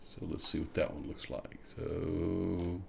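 A man's voice: low voice, flat delivery, slow, vocal fry, a little hiss or hum. A man hosts a kayak fishing show, walking viewers through a map on screen and thinking aloud as he clicks. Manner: thinking aloud, musing with hmms while clicking. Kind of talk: screen-share tutorial walkthrough.

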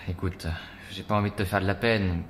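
An actor playing a gentle, reassuring husband and father with a soothing, considerate manner in an audio drama. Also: low voice, fairly even intonation, a little fast, slight background noise, natural voice. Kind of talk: French audio drama acting.

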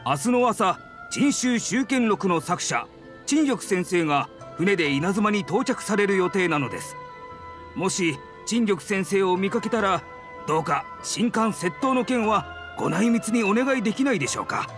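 An earnest publishing editor with a high, animated voice. He is courteous, apologetic and deferential, in anime game style over faint music. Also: moderate pace. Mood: earnest, grateful. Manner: very polite, deferential business speech. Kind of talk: Japanese anime game dub.

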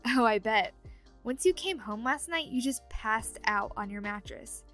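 A woman plays a young female ghost in an audio roleplay, teasing and chatty. Her voice sits fairly high, with faint music behind it. She comes across as a playful, meddling roommate. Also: lively intonation, measured pace, fairly bright tone. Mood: teasing, friendly. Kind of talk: ASMR audio roleplay acting.

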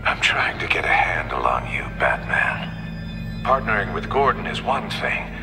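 An actor playing a sadistic, taunting supervillain in a video game, theatrical, gloating and menacing. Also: male voice, deep voice, very animated, a little slow, in a large hall, over music, heavily acted. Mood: gloating menace. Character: sadistic, taunting male supervillain who loves riddles. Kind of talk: video game cutscene acting.

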